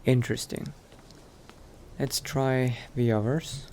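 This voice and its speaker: Man playing a slow, mellow, musing vampire adventurer. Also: medium pitch, steady tone, a little hiss or hum. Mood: hesitant, curious. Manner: hesitant, musing to himself. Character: cautious, musing male vampire adventurer at a mage college. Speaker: roleplay let's-play player. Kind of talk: in-character improvised roleplay monologue.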